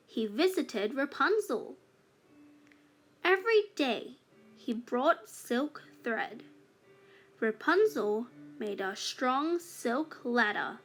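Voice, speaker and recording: A woman narrates a children's fairytale in a high voice. She reads very slowly, phrasing each part deliberately for young listeners. Soft music plays along behind her. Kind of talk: scripted read-aloud fairytale narration.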